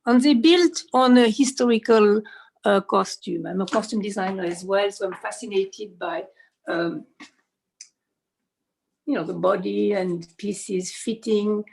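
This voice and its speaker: A woman's voice: medium pitch, measured pace, creaky, darkish tone, poor audio. A woman from Switzerland gives an informal explanatory studio tour as an artist and costume designer, often searching for words and adding "you know".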